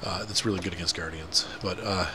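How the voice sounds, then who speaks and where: A man's voice: low voice, fairly even intonation, brisk, very noisy. Stuffy-nosed man rambling over gameplay. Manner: rambling, chatty, many fillers. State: congested, stuffy nose. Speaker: gaming channel host. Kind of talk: casual gameplay commentary.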